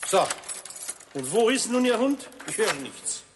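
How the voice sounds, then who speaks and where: Stiff male householder, skeptical, formal, expressive pitch, children's radio play. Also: measured pace, noisy background, full character voice. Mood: suspicious. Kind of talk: 1970s German children's radio play acting.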